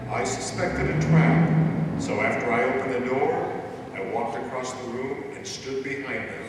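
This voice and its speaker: Guy doing mysterious calm avenger, ominous measured talk, radio play, very echoey hall with faint noise. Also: medium pitch, recorded from a distance, lightly acted. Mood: calm, reassuring. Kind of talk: old-time radio drama acting.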